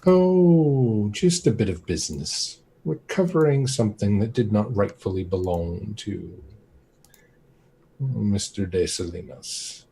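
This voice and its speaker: A man's voice: lowish voice, animated, slow, mellow, a little hiss or hum. A man voicing a sly woman of business who answers in a sweetly evasive way.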